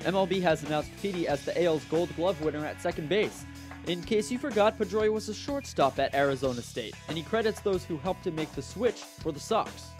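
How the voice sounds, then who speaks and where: Higher-voiced male radio sports and news anchor. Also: animated, a little fast, music in the background. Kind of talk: broadcast radio news, sports updates and sports talk. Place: radio studio.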